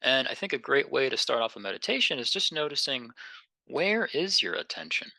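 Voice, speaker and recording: A man leading a guided meditation in a gentle, unhurried, conversational way, pausing between phrases. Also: mid-range voice, very animated, creaky, dry recording.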